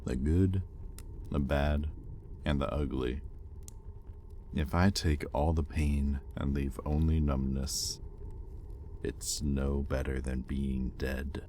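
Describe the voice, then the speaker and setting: A man's voice: rumbling low, fairly even intonation, takes it slowly, pauses often, a little hiss or hum. A man playing a bitter, conflicted demon in a roleplay audio drama, speaking to his captive with brooding intensity. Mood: bitter confession. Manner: brooding, intense, swinging between hushed confession and heated accusation. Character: bitter, conflicted male demon, possessive owner of a captive angel. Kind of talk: scripted roleplay audio acting, addressed to the listener.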